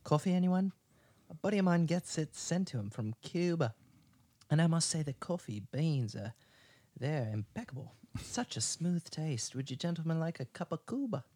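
A man voicing a smooth, menacing adult male crime boss in a read-through comedy skit, speaking with mock politeness. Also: a little high, sing-song, normal speed, dark tone, dry recording.

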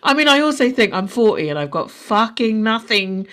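Chatty woman in her forties, lively pitch. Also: moderate pace. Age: 40s. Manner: chatty, laughing, swearing freely. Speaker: podcast co-host. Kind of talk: casual chat.

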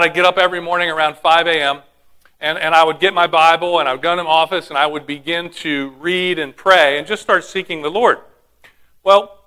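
A man's voice: high voice, pushed and bright. A man preaching a sermon, telling stories and putting questions to the congregation. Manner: storytelling, asks the congregation questions. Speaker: pastor.

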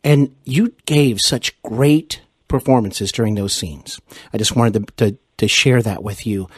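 A man hosting a casual interview, speaking in a hesitant, halting way and offering compliments. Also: fairly low pitch, slightly rough, no room sound, clean studio sound. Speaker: podcast interviewer, host.

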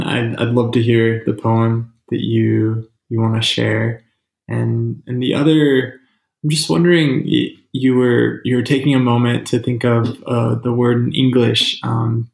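A man hosting an interview chat, asking questions in a hesitant way with many pauses and restarts. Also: mid-range voice, creaky, darkish tone, reverberant, rough recording.

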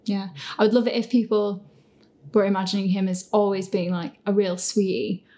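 A woman's voice: mid-range voice, flat delivery, normal speed, warm tone. A woman hosting a podcast Q&A as a casual, informal group chat. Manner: giggly, informal. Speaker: host of a podcast Q&A.

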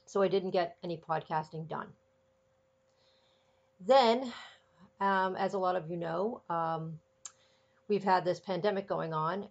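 Congested woman with gravelly voice. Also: lowish voice, lo-fi sound. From Boston, Massachusetts. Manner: chatty, frequent ums and uhs. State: congested from a cold. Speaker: podcast host. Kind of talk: casual podcast monologue.

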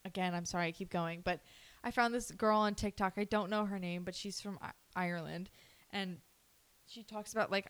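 Animated woman, casual chat. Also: on the low side, clear, resonant tone, dry recording. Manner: swears a lot, animated and laughing.

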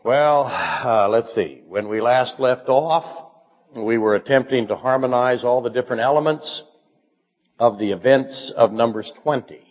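A hoarse man who has nearly lost his voice, delivering a measured sermon lecture as pastor and posing rhetorical questions. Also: medium pitch, big-room sound, muffled recording. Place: church hall.

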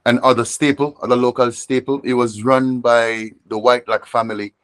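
A man's voice: medium pitch, little pitch movement, moderate pace, clean tone, dry recording. A Jamaican man, a reggae artist, talking reflectively in an interview, often restarting and repeating words as he gathers his thoughts. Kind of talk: conversational interview.

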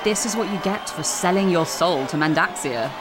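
Breezy, flattering rich celebrity lady, lowish voice that moves a lot, played by a woman, music bed. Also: normal speed, a loud crowd, heavy background noise, natural voice. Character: wealthy female media star, smooth flatterer. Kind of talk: audio drama acting.

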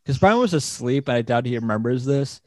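Young male, mid-pitched, dry recording, easygoing conversational delivery with repeated agreeing phrases. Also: animated, a little fast, no room sound. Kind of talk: casual chat, reminiscing.

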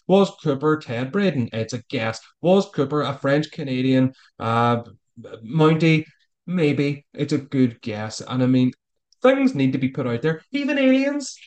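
A man with an Irish accent speaking as a podcast guest, giving his opinions in a casual, emphatic, colloquial way. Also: mid-range voice. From Ireland. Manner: emphatic, colloquial, mildly sweary. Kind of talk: casual, opinionated interview talk.

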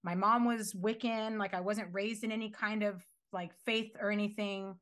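A young woman telling her life story in a relaxed, earnest way. Her pitch sits in the middle, and she laughs now and then. She leans on casual fillers as she talks about finding peace. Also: moderate pace. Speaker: guest sharing her faith story. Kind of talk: casual faith testimony.

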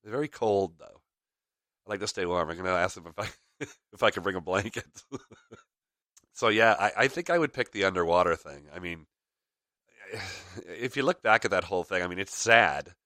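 Podcast host, male, low voice with a slight rasp, rambling, filler-heavy delivery, dry sound. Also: lively intonation, normal speed, long pauses, pushed and bright, no echo. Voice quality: raspy. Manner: rambling, frequent fillers, chuckles. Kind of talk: casual rambling chat.

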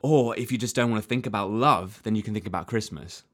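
A man's voice: fairly low pitch, fast talker, a bit bright. A young man co-hosting a podcast, playfully leading the chat and laughing along while nursing a cold. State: has a cold. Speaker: podcast co-host. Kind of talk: casual chat.

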